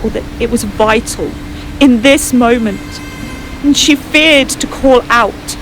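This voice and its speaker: A woman reading a scripted story as narrator, in an earnest, dramatic manner with deliberate pauses. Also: on the high side, background noise. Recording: music playing behind the voice. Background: music.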